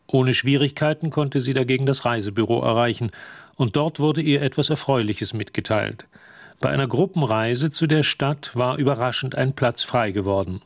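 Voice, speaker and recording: A man's voice: mid-range voice, normal speed, no echo. A man reading scripted narration as the storyteller of a radio drama. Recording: faint background noise.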